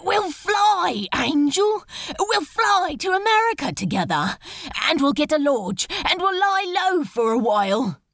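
A woman plays a male demon in a dramatic story reading, defiant and fiercely devoted. The delivery is urgent and pleading, very animated in pitch, with a close, dry sound. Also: a little slow, dry recording, heavily acted. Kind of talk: dramatic fan fiction audiobook dialogue.

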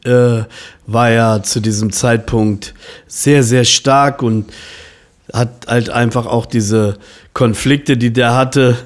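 A man's voice: medium pitch, fairly even intonation, takes it slowly. A man in his forties hosting an interview podcast, asking long, rambling questions full of fillers and restarts. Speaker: podcast host. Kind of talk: casual interview chat.

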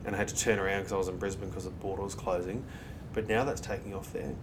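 An Australian man talks as a guest in a casual interview. He speaks quickly in a fairly low voice, chatty and free with his opinions. The recording has clear noise with a low rumble behind him. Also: flat delivery.

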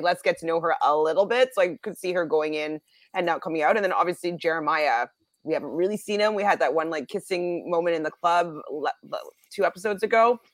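A woman's voice: on the low side, no echo. Casual woman chatting, close dry sound. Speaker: panelist on a reality competition recap show. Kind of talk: casual chat.

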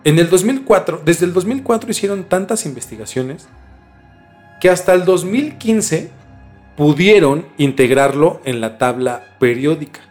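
A Mexican man with a slightly high-pitched voice explains things in a casual podcast chat. He is informal and often repeats words to stress them. Faint music plays behind him. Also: expressive, measured pace, a little mellow. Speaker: podcast co-host explaining a case.

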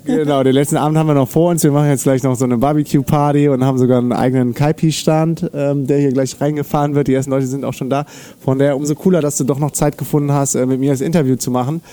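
Upbeat German podcast host. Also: male voice, mid-range voice, steady tone, fast talker, warm tone. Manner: upbeat, laid-back, slangy. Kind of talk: casual interview chat. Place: outdoors at an evening camp.

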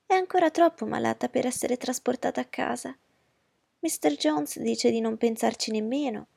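A woman voicing a fussy, boastful middle-aged mother who speaks in a high, effusive way, with a gravelly, husky voice. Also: normal speed, darkish tone, no room sound, heavily acted. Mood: gushing. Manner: shrill and effusive.

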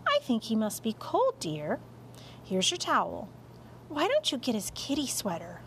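Woman playing a patient father, lively intonation. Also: fairly high pitch, measured pace, a little hiss or hum, full character voice. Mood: bemused. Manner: patient, mildly bemused. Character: mild, reasonable suburban father explaining things. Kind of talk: audiobook character voice.

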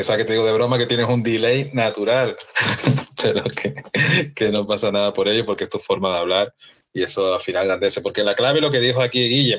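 A man moderating a casual social audio chat, with a relaxed, announcer-like way of speaking. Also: fairly low pitch. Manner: easygoing, animated, radio-announcer-like delivery.

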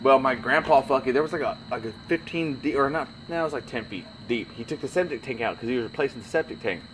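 A man telling profane stories in casual chat, animated and laughing through them. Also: medium pitch, fast, background noise. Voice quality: gravelly, swears constantly. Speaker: podcast co-host telling stories.

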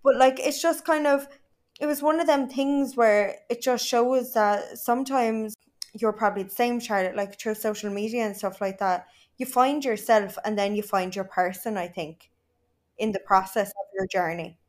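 A young Irish woman with a slightly high voice, gushing warmly as a podcast guest. Her talk is loose and casual, full of "like" and quick restarts. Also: fairly even intonation. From Ireland. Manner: gushing, lots of "like". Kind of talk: casual chat.

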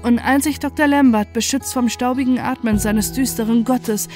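A woman's voice: a little high, steady tone, normal speed, a little mellow, faint music behind, close to an everyday voice. A woman playing a desperate narrator in a first-person horror audio drama, telling it with dramatic intensity and dread. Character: desperate, horrified narrator confronting a mad former friend.

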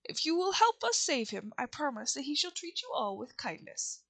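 A woman's voice: high-pitched, very animated, moderate pace, vocal fry, fairly bright tone, pitched above the performer's own voice, full character voice. A woman voicing an earnest, helpful living scarecrow in a children's storybook reading.

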